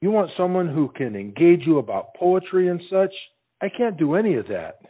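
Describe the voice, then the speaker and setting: A man playing a simple, earnest young soldier who speaks haltingly and humbly in a period stage comedy. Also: fairly high pitch, very animated, breathy edge, narrow, tinny audio. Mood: earnest, resigned.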